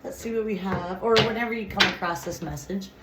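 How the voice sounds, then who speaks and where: A woman's voice: mid-range voice, brisk. A woman with a stuffy nose from a cold, giving a chatty, rambling tarot reading and reading card messages aloud. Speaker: tarot reader. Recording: echoey room with clear noise.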